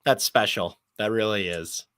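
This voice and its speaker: A male sports broadcaster chatting as a podcast guest. His voice is medium-pitched with a bright, forward edge and a very dry, close sound. He talks at length in an emphatic, appreciative way. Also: measured pace, smooth, no room sound. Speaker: sports play-by-play announcer, interview guest. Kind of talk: conversational sports podcast interview.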